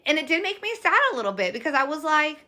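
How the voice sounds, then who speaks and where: A raspy-voiced young woman co-hosting a podcast, telling stories in animated casual chat and swearing freely. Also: high voice, fast. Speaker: podcast co-host.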